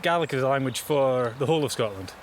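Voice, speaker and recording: Quick-talking man, earnest and thoughtful. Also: mid-range voice, lively intonation, a little hiss or hum. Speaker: Gaelic language advocate.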